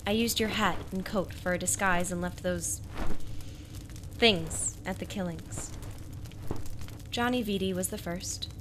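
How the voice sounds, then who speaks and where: An actress playing a lonely, devoted wife in a radio noir monologue, halting and wistful as she confides. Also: fairly low pitch, noisy background, natural voice. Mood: wistful, confiding. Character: lonely, devoted wife quietly confessing her secret.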